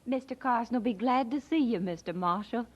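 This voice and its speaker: An actress playing a cheerful, friendly rural wife in an audio drama. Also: female voice, on the high side, fairly quick, muffled recording, soft background music, natural voice. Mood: glad, proud.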